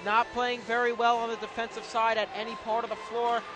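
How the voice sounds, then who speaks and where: A man's voice: very high voice, flat delivery, fairly quick, over music. A man giving live color commentary and analysis on a basketball game for radio, from an arena. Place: indoor college basketball arena.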